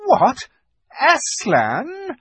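A cruel witch queen from a storybook given voice by a man speaks in a high, swooping voice. She is imperious and menacing, commanding with terrible authority. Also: wildly swooping pitch, extremely slow, dark tone, dry recording, heavily acted. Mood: alarmed threat. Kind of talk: audiobook character voice.

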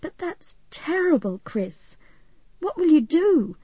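Woman voicing an eager, high-pitched girl. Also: lively intonation, measured pace, a little mellow, no room sound, highs cut off. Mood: dismayed. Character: warm, excitable, sympathetic teenage girl.

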